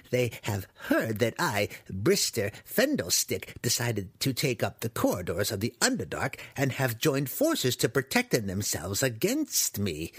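Shrill, crazed old wizard. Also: male voice, a bit bright, breathy edge, no echo, full character voice. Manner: shrieking and muttering, broken quaint grammar. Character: crazed old human wizard, cranky, paranoid, hysterical. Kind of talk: audiobook fantasy character voice.

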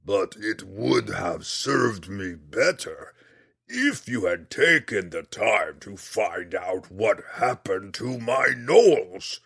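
Huge fiendish ruler for a fantasy audiobook: commanding, cruel, drawn-out threats, rough husky voice. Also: male voice, fairly low pitch, sing-song, a bit bright, no room sound, clean studio sound, full character voice. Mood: cold menace.

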